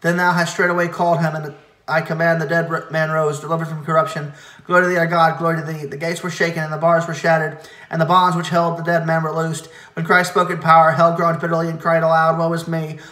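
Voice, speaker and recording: Man rapidly reciting liturgy in echoing room. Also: a little high. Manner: quick, steady recitation with stumbles and self-corrections. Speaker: reader leading a church service. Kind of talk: liturgical chanting of hymn verses.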